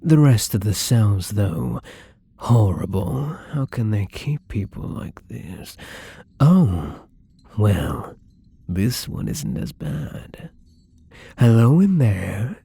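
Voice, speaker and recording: Casting: male actor for a timid, courteous new vampire in ASMR roleplay; very breathy, dark-toned, unhurried, halting. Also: on the low side, big pitch swings, dry recording, exaggerated voice. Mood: wary, murmuring, then nervous, polite. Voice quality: breathy, often slipping into whispers. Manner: hesitant, halting, polite, soft-spoken. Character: shy, gentle, newly turned male vampire, apologetic and hungry. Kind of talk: intimate ASMR roleplay acting.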